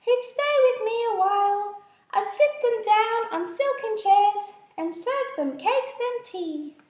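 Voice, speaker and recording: A woman voicing a lonely, gentle female spider in a storybook, speaking in a plaintive, pleading way. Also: extremely high pitch, lively intonation, slow pace, echoey room, pitched above the performer's own voice, exaggerated voice. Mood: wistful longing.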